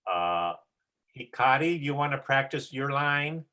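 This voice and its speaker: Bright-voiced, slow-paced drama coach on a call. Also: medium pitch, expressive. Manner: encouraging. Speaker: drama coach leading a rehearsal. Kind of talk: casual coaching, demonstrating lines. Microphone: video call.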